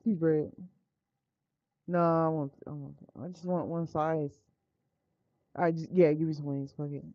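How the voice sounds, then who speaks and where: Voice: mid-range voice, fairly even intonation, a little slow, pauses often, no room sound, lo-fi sound. An American streamer of indeterminate-sounding sex talking informally to viewers, muttering and swearing in a casual live-stream style. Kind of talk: casual live game stream chat with read-aloud visual novel text.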